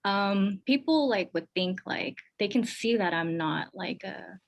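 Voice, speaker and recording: A woman's voice: medium pitch, expressive, measured pace. A young woman with an American accent, a podcast guest, chatting casually with many fillers. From born in Taiwan, raised in the United States.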